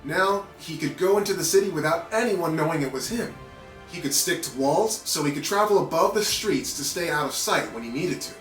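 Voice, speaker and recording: A man reading a children's story aloud in an animated narrating style with playful asides. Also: on the high side, a little fast. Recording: roomy echo with background music.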